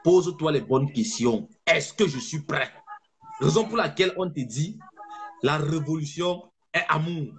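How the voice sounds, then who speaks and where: A man giving an impassioned political speech as an activist, fervent and preaching, stressing slow phrases. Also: fairly high pitch, animated.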